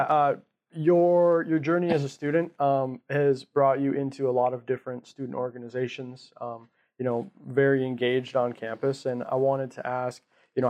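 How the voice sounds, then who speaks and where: A man's voice: medium pitch, a little mellow, highs cut off. Young guy hosting a campus talk show, friendly, says uh a lot. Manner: friendly, filler-heavy questions. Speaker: host.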